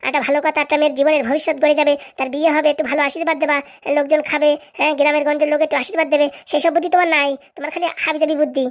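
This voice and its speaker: Shrill, rapid-fire nagging cartoon wife voiced by a woman. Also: flat delivery, lightly acted. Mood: nagging, demanding. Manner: scolding, accusatory, rapid-fire haranguing. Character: bossy, nagging, domineering wife of a village politician. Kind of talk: comic cartoon voice acting.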